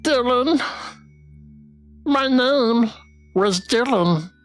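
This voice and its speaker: Female actor as bitter haunted toy, once human, scornful, slow dramatic delivery, high pitch, music underneath. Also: a bit bright. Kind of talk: dramatic visual novel voice acting.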